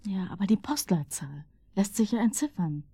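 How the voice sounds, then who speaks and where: An actress playing a casual police colleague in a radio drama, matter-of-fact and offhand with dry humour. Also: female voice, medium pitch, very animated, normal speed, dark tone, breathy edge, dry recording. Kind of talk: naturalistic German radio drama.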